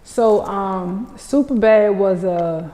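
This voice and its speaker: A woman's voice: mid-range voice, takes it slowly, warm tone. A woman in her thirties from New Orleans with a New Orleans accent, telling stories in a casual interview and acting out what others said. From New Orleans, USA. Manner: storytelling, quotes others in dialogue. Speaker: guest, social media personality. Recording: reverberant roomy sound.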